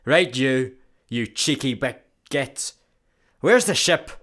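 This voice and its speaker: An actor playing a blustering, menacing space pirate in a comedy radio play, pompous and threatening. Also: male voice, mid-range voice, lively intonation, slow, a bit bright. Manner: threatening, pompous, garbles words. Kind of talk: sci-fi comedy radio acting.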